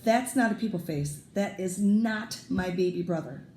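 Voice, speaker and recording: A woman voicing a firm, unimpressed little girl in a children's storybook, speaking in slow, emphatic declarations. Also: medium pitch, mellow, lightly acted. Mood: flat disapproval. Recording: roomy echo, noticeable background noise.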